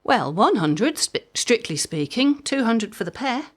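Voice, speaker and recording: A woman's voice: fairly high pitch, big pitch swings, unhurried, slightly rough, heavily acted. A woman playing a chatty, wry mother with a mischievous streak in an audio drama comedy, speaking conversationally with dry timing.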